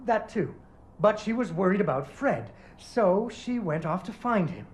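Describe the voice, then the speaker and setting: A man's voice: high-pitched, expressive, unhurried. A man playing a playful, doting father who narrates a fairy tale with wry expression in a video game. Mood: playful, dramatic. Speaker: storyteller. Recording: music under the voice. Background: prominent music.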